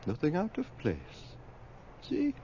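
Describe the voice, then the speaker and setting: A man's voice: sits high, huge pitch swings, slow pace, vocal fry, dark tone, heavily acted. A man playing a terrified, remorseful middle-aged husband and father in a radio horror drama, urgent and pleading with breathless dread. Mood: shaken, weary. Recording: dull old radio recording, faint noise.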